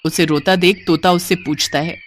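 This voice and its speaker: A woman's voice: on the low side, steady tone, normal speed, slight background noise. A woman narrating a scripted cartoon story as the storyteller.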